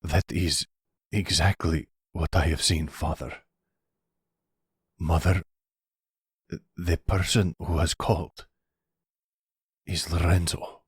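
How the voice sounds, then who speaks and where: A man's voice: deep voice, fairly even intonation, slow pace, pauses often, husky, dry recording, clean studio sound, full character voice. A man playing a formal, deferential adult son of a mobster in an audio roleplay, speaking stiffly and hesitantly. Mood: uneasy, formal.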